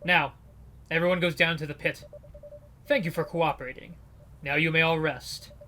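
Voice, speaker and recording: A man's voice: fairly high pitch, fairly even intonation, moderate pace, sharp tone, slight background noise. A man putting on a cold, sneering gangster boss. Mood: cold mocking. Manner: detached, sneering, commanding. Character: cold, mocking male gangster leader.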